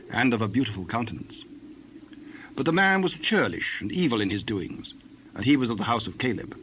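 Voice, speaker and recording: A man's voice: medium pitch, a bit bright, slight background noise. A man narrating a dramatized scripture recording from a script, in the role of storyteller.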